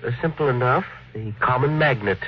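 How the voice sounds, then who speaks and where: An actor playing an earnest, courteous, dreamy inventor who is hesitant yet insistent, in 1950s radio drama style. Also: male voice, medium pitch, fairly quick, husky, lightly acted. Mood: eager, insistent. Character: earnest, polite, dreamy male inventor, quietly persistent. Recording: dull vintage radio recording.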